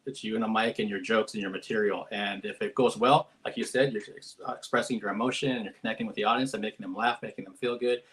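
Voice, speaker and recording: A man's voice: on the low side, fairly even intonation, fast, few pauses, fairly bright tone. A man hosting a live-streamed interview over a video call, friendly and chatty, with many fillers and restarts. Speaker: interviewer, host.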